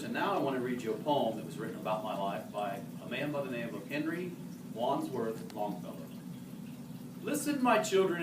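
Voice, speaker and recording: A man reciting a narrative poem as an amateur reader, occasionally stumbling over harder words and correcting himself. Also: mid-range voice. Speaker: amateur reader in the role of a historical horseman. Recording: roomy, distant mic, faint background noise.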